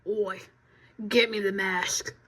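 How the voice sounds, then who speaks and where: A woman's voice: medium pitch, steady tone, slow, a bit bright, breathy edge. A woman voicing a rude, bossy male lizard who acts as president, barking "oi" and "bloody" at everyone. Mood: grumpy. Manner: brash, keeps barking "oi" and "bloody".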